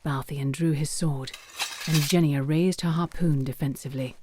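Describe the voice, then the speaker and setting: A woman's voice: sits low, fairly even intonation, moderate pace, noisy background. A woman reading scripted narration for an audio drama as its storyteller.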